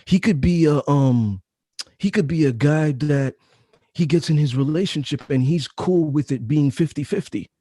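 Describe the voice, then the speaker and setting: Deep-voiced man, dark mellow tone. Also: moderate pace, dry recording. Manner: emphatic, repeats phrases, slangy. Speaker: author and guest. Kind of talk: casual interview talk. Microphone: home mic setup.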